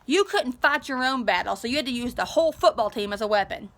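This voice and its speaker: A woman's voice: a little high, animated, fast talker, a bit bright. A woman voicing a hot-headed, guilt-ridden eighth-grade football player in an impassioned storybook read-aloud. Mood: angry accusing. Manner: emphatic, impassioned.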